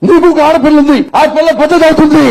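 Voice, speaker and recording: A man's voice: very high-pitched, little pitch movement, racing, a little mellow. A man speaking in dramatic film dialogue. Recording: music faintly under the dialogue.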